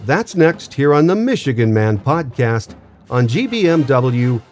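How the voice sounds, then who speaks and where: A man's voice: medium pitch, very animated, unhurried, a little mellow, faint music behind. An American man hosting a sports podcast, reading scripted narration. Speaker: podcast host.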